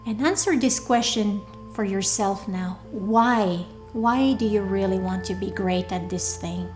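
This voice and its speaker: A woman leading a guided meditation in a soothing, deliberate way, leaving pauses between short phrases. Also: medium pitch. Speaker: meditation guide, workshop leader. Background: soft background music.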